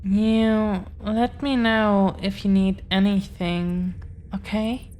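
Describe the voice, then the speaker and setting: A woman plays a dragon-blooded girlfriend in an intimate audio drama, tender and possessive. Her delivery is extremely slow, earnest and hesitant, in a slightly low-key, affectionate manner. Some faint noise sits behind the voice. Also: steady tone, darkish tone, natural voice. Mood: protective, adoring. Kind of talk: intimate scripted audio roleplay.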